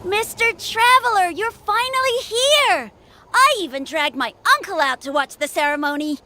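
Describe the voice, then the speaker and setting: A woman's voice: very high voice, animated, normal speed, pushed and bright, faint music behind, full character voice. A woman voicing a cheerful, eager young girl who greets the hero in a video game. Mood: excited.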